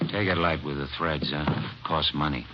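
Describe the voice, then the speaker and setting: A wisecracking young thief. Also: male voice, rumbling low, moderate pace, noisy background. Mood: surly. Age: 20s. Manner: wisecracking, sullen, defiant. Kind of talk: 1950s radio drama acting. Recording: dull lo-fi old radio broadcast.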